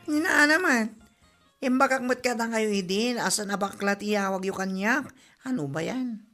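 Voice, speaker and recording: A woman's voice: fairly high pitch, moderate pace, fairly bright tone, faint music behind, close to an everyday voice. A woman playing a sassy, gossipy village friend with an Ilocano accent in a comedy drama podcast.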